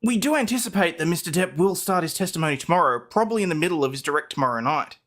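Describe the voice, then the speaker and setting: Man, animated pitch, informal transcript reading, self-deprecating, conversational co-reader. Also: rapid-fire. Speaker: guest co-reader. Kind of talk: casual reading of court transcript.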